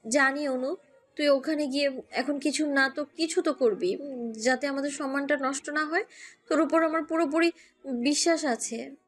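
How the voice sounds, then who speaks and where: A woman's voice: sits high, steady tone, moderate pace, lightly acted. A woman playing an earnest, exasperated young sister who pleads her way through an audio story. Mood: pleading. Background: music.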